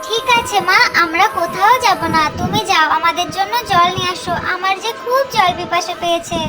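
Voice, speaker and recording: Woman as a high childlike baby bird. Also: brisk, heavy background noise. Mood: sweet pleading. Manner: childlike, pleading. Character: sweet obedient little girl baby bird.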